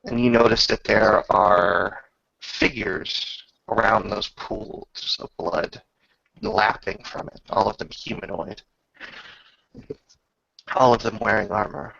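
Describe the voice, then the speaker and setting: A man narrating a tabletop roleplaying game as game master, descriptive and measured, often pausing mid-sentence. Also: fairly low pitch, fairly even intonation, vocal fry, bright, forceful tone, dry recording. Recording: dull lo-fi recording.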